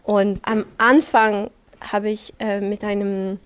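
An actress whose first language is French, answering an interview in careful, hesitant German. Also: female voice, mid-range voice, flat delivery, takes it slowly, smooth. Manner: hesitant, searching for words.

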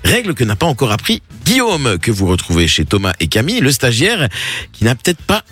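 A man's voice: medium pitch, huge pitch swings, a little fast, fairly bright tone, slightly rough. A man hosting an evening radio show, recapping clips with sarcastic jokes and teasing asides. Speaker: radio host. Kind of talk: mocking radio recap banter. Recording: radio broadcast with faint music bed.

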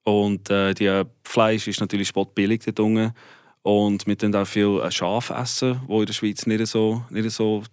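A man's voice: lowish voice, flat delivery, normal speed, darkish tone, clear, resonant tone, no echo, clean studio sound. A young man from South Africa, a native Afrikaans speaker, talking casually in Swiss German with an Afrikaans tinge. He laughs easily and often restarts his phrases. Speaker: guest musician. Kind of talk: casual interview chat.

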